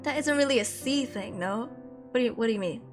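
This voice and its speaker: A young woman streamer from Malaysia chats casually with her viewers, her voice sitting a little high. She laughs a lot and scatters fillers through her talk. Soft music plays behind her. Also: quick pace. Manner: chatty, laughs often, frequent fillers. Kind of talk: casual chat reading viewer comments.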